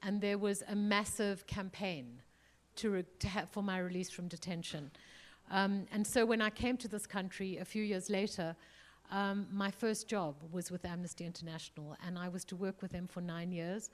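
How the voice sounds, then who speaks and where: A woman's voice: mid-range voice, steady tone, normal speed. A middle-aged woman from South Africa with a South African accent, speaking earnestly at a panel, with frequent ums and restarts. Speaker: museum CEO, panelist. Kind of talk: panel remarks. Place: event hall.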